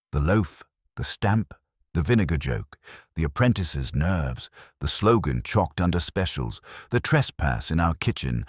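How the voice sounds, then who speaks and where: A man with an extremely low voice narrates a wry detective tale. His pitch moves around in a lively way while the delivery stays measured and literary. The sound is dry and close. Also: slightly rough, no room sound. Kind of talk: scripted audiobook narration, wry first-person detective story.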